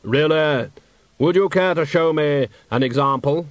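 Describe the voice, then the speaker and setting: A man voicing Death as a grave, patient male figure in a storybook reading, speaking slowly and deliberately. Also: on the high side, no room sound, voice pushed up, lightly acted. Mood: measured. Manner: slow, deliberate and measured.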